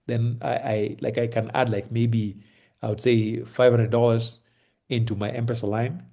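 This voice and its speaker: A Kenyan man with a Kenyan accent giving relaxed, reassuring advice on a video call, with lots of fillers and restarts. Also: medium pitch, unhurried, creaky. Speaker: host advising on moving to Kenya. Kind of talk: casual advice chat on a call. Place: home in Kenya.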